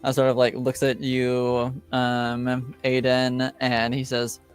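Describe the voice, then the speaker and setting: Male game master, slow and hesitant, mid-pitched, narrating tabletop fantasy play. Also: monotone, clear, resonant tone. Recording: music behind the voice. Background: background music.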